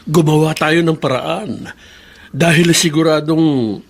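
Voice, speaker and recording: A man's voice: a little high, fairly even intonation, slow pace, gravelly, heavily acted. An actor playing a weary, troubled middle-aged father in a Filipino radio drama, exasperated and deliberating.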